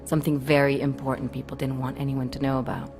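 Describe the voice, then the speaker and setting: A woman's voice: very deep voice, flat delivery, fast talker, music in the background. An actress playing a hardened, wary female resistance operative in a video game, wry and world-weary. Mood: grave, confiding. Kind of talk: video game cutscene acting.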